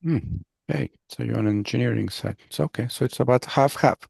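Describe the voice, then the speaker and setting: A man's voice: medium pitch, a little fast, creaky, darkish tone, husky, dry recording. A man teaching as a professor on a video call, talking in a rambling, anecdotal way and often restarting his sentences. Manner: rambling, anecdotal, self-interrupting. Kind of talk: online class introductions.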